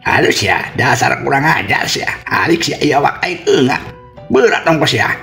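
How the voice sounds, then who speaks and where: Man acting a grumpy, scolding old man, pitched up. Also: a little fast, a bit bright, faint music behind, lightly acted. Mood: angry. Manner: irritable, scolding.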